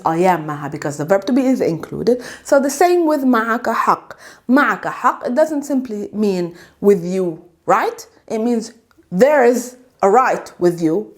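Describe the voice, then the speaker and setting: Brief: middle-aged woman, patient teacher, drills each word, slightly high voice. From Palestine. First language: Arabic. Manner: explains slowly, repeats words for drill. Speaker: Arabic teacher. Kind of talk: language lesson.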